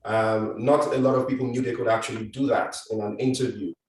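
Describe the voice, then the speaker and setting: A man moderating a panel, speaking in a measured way with frequent ums. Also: lowish voice, steady tone. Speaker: host. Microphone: video call. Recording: very echoey and distant.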